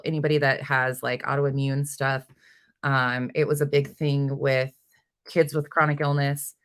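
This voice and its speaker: A woman chatting casually as a livestream host, informally hopping between replies to viewers. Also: deep voice. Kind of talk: casual live chat, reading and answering viewer comments.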